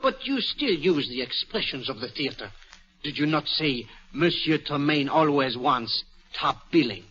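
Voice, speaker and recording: An actor playing a polite, shrewd, meticulous detective with a French accent in an old-time radio drama, speaking deliberately with small hesitations. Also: male voice, on the high side, expressive, pushed and bright. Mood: teasing, probing. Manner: courteous, teasing, deliberate with hesitant "eh" and "uh". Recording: dull old radio recording.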